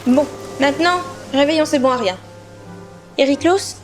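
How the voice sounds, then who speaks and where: Woman playing a very high-pitched, indignant young nymph. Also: moderate pace, soft background music, natural voice. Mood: jealous rage. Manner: indignant, theatrical. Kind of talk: amateur audio drama acting.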